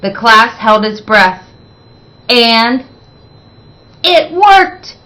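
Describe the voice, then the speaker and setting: Female picture-book narrator, slow and deliberate, bright tone, expressive with dramatic emphasis. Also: a little high. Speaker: read-aloud storyteller.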